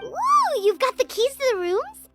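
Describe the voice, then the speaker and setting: A woman voicing a tiny, childlike fairy companion in a video game, chirpy, curious and excitable. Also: extremely high pitch, measured pace, over music, full character voice. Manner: chirpy, childlike, speaks of self in third person.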